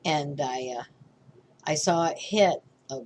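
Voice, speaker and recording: A woman's voice: low voice, slow, darkish tone, a little hiss or hum. An older woman, a reverend, giving an informal spiritual class talk in a rambling way, often restarting and checking in with "okay?".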